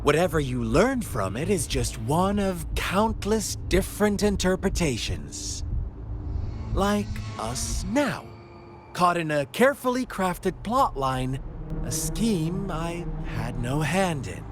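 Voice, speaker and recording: A man's voice: a little high, very animated, takes it slowly. An actor voicing a sly, mocking young male villain in a game cutscene, speaking in a theatrical, teasing, fable-telling manner. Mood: storytelling. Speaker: game antagonist. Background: game soundtrack music.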